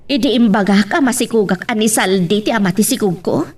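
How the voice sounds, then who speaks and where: A woman's voice: medium pitch, brisk, darkish tone, lightly acted. An actress playing a humble, forgiving working mother who speaks respectfully in a serial drama. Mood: questioning, pained. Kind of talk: radio-style serial drama acting. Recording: faint background noise.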